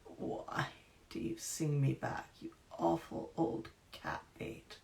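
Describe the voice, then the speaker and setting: Brief: weary half-drowned sailor girl, croaky and low, gravelly hush, read by a woman. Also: very animated, slow pace, exaggerated voice. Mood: bitter. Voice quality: croaking, hushed.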